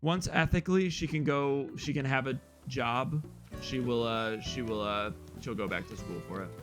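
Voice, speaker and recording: A young man with a loud, slightly hoarse voice, rambling casually to his viewers as a live streamer while he plays a game. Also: mid-range voice, normal speed. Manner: loud, rambling, talks straight to chat. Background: faint guitar music.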